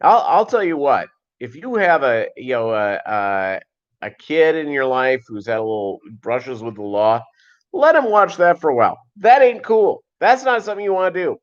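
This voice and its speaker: A man's voice: fairly high pitch, very animated. Middle-aged man rambling on a lo-fi stream. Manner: rambling, halting, repeats phrases. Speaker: livestream host. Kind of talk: casual livestream chat. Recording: dull lo-fi livestream audio.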